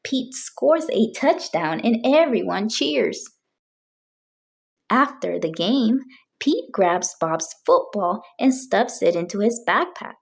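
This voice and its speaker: A woman reads a children's story aloud. Her pitch moves around in a lively way, and she takes her time, phrasing each sentence deliberately for young listeners. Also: vocal fry.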